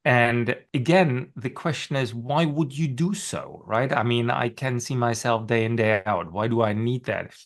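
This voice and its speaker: A middle-aged man with a clear German accent talks through his ideas on a webinar panel from his office. His delivery is loose and conversational, with plenty of "right?" check-ins and the odd laugh at his own expense. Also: mid-range voice, a little slow, hardly any pauses. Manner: explanatory, with frequent "right?" tags and self-deprecating laughs. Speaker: panelist and course instructor. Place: home office.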